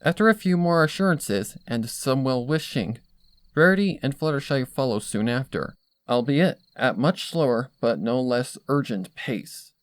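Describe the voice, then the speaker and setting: A man's voice: medium pitch, lively intonation, a little slow, dry recording. A man narrating a dramatic first-person story from a script. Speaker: fan fiction narrator.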